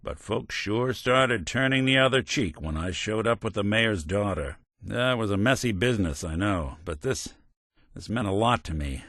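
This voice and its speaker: A man with an American accent playing a gruff, sardonic synthetic detective in a video game, with wry, hard-boiled, deliberate phrasing. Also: lowish voice, animated, fairly bright tone, dry recording, clean studio sound. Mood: subdued, regretful. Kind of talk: film-noir detective game voice acting.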